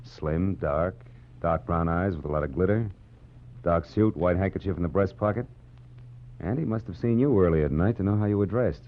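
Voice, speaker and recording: A man's voice: deep voice, moderate pace, a little hiss or hum. An actor playing a tough, wisecracking American private detective in a 1940s radio drama, clipped and wry as he acts and narrates. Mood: wry, probing. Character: tough wisecracking private detective, sardonic and cool under threat. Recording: muffled vintage 1940s radio recording, music underneath.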